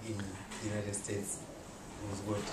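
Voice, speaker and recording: A man moderating a panel discussion, speaking slowly and measuredly with many hesitant pauses. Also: lowish voice, completely flat delivery. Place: festival venue indoors. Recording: echoey room, far from mic, loud noise.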